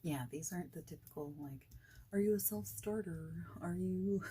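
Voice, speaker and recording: A woman doing a soft-spoken ASMR interview roleplay, with a breathy voice that often slips into whispering and a casual, hesitant, chatty delivery. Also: fairly low pitch, moderate pace, a little hiss or hum.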